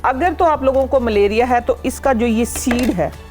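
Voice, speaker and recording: A woman's voice: on the high side, moderate pace, a little mellow, faint music behind. A woman from Pakistan, a guest expert on a studio health talk show, explains home remedies step by step, often saying "ठीक है" and "इंशाल्लाह".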